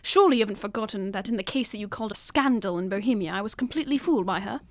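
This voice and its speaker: A woman's voice: mid-range voice, very fast, no room sound, lightly acted. A woman playing a retired older detective in an audio drama, commanding yet affectionate, with formal old-fashioned phrasing. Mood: eager.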